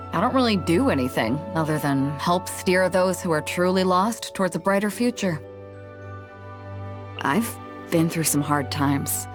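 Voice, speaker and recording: A woman playing a bold, big-hearted ship captain for a video game, with a confident, frank and laid-back manner. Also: lowish voice. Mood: somber, reflective. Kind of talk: video game character voice lines.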